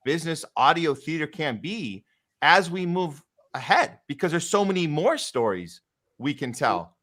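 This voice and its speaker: A man's voice: on the high side, sing-song, moderate pace, a bit bright. A man in casual conversation as a podcast host, talking in an agreeable, affirming way.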